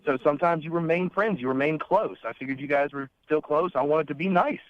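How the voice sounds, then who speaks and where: A man's voice: a little high, a little fast. A young man calling a radio show, talking casually in a hesitant, bemused way over the phone. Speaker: caller on a radio dating segment. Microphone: telephone. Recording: dull, dry radio phone line.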